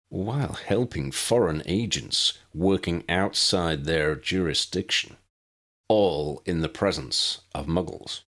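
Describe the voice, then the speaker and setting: A man's voice: extremely low pitch, a bit bright, full character voice. A man voicing a stern, weary warlock director in an audiobook, formal and dry with wry sarcasm. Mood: stern rebuke. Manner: formal, dry, measured, sardonic.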